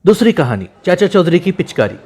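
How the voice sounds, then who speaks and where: A man's voice: high voice, sing-song, brisk, a little mellow, husky. A man narrating scripted comic book stories as a storyteller.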